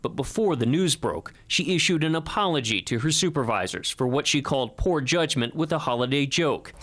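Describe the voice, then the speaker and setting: A man's voice: a little high, lively intonation, a little fast, a bit bright. An American man reading a scripted investigative television news report as the reporter.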